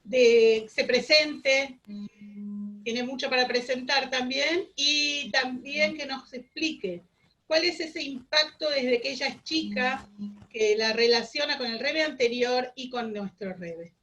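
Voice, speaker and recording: A woman moderating an online gathering, introducing speakers in a slow, measured way. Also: high-pitched, a bit bright, rough recording. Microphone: video call.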